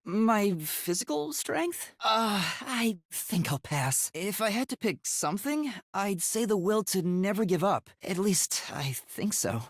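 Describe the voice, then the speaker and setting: A man voicing a timid, earnest teenage boy detective in a video game, with a soft youthful voice and a hesitant, polite, stammering way of talking. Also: sits high, big pitch swings, measured pace, few pauses, no echo, studio quality, full character voice. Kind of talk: English video game voice acting.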